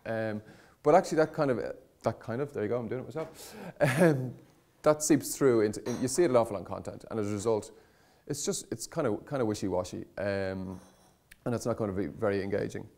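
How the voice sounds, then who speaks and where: Low-voiced man giving an informal talk. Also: a little fast, gravelly, a little mellow. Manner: conversational, hesitant, rambling with frequent self-corrections. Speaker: conference speaker on startup content marketing. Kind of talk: informal presentation to an audience.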